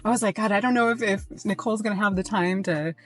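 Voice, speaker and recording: A woman's voice: mid-range voice, fast talker. A woman hosting a casual podcast interview, effusive and rambling. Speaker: podcast host.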